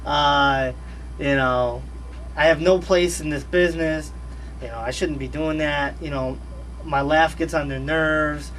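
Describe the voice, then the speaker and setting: High-voiced man chatting loosely on radio. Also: unhurried, far from the mic, rough recording. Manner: laid-back, animated, slangy, repeats phrases. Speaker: radio host guest. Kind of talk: casual radio interview chat. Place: radio studio.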